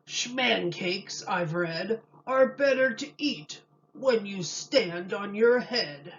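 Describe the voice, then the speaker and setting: A woman's voice: mid-range voice, lively intonation, takes it slowly, far from the mic, highs cut off. A woman voicing a self-assured storybook cook giving silly advice in matter-of-fact rhyme.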